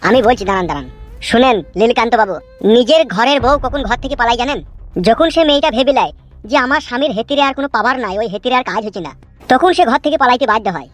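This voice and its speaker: A woman's voice: fairly high pitch, expressive, rapid-fire, faint music behind, natural voice. A woman playing a self-important male village politician in a comic cartoon, lecturing and brushing complaints aside. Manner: pompous, lecturing, dismissive.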